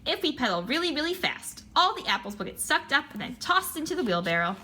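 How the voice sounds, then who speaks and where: A woman's voice: high-pitched, big pitch swings, fast, background noise, voice pushed up. A woman voicing an earnest, keen boy, a big brother in a children's picture book. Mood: excited.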